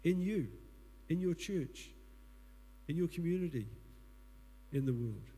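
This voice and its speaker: Kiwi preacher guy with a booming voice, kinda high but dark and mellow, big echoey church hall. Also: animated, unhurried. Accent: New Zealand. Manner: earnest, asks the congregation questions. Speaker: guest preacher. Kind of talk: sermon. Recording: long hall reverb.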